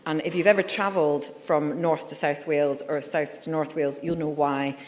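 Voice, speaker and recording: A woman's voice: sits low, fairly even intonation, normal speed, darkish tone. A middle-aged Scottish woman, a surgeon giving a candid conference talk with frequent ums and uhs. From Scotland. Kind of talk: conference presentation. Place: conference hall. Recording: reverberant hall.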